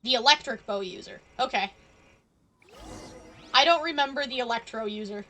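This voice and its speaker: A woman's voice: a little high, moderate pace, pushed and bright. A woman game streamer giving casual live commentary, musing and thinking aloud as she goes.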